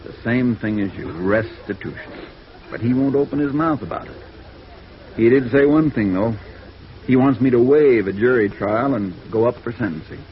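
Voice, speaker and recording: A man's voice: mid-range voice, moderate pace, lightly acted. A male actor playing a troubled, conscientious defense attorney, world-weary and emphatic, in a 1950s radio drama. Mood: discouraged. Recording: dull, noisy vintage radio recording.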